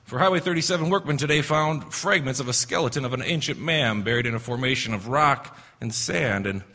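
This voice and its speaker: A man's voice: mid-range voice, fairly quick, pushed and bright. A man playing a scheming, cold-blooded killer in an old-time radio drama, insistent and melodramatic. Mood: musing, uneasy. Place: stage in a theater hall. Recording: echoey stage hall reverb.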